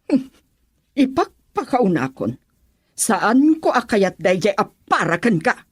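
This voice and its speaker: Husky stern mother, slow, dramatic. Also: medium pitch, sing-song, dry recording, heavily acted. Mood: scolding. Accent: Ilocano. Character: stern disapproving mother of a grown daughter. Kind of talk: radio drama acting in Ilocano.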